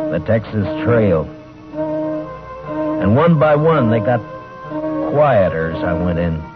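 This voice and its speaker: An American actor playing a stern, world-weary western marshal in terse, deliberate 1950s radio drama style. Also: male voice, lowish voice, lightly acted. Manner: terse, hard-bitten, deliberate. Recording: tinny old radio broadcast with music.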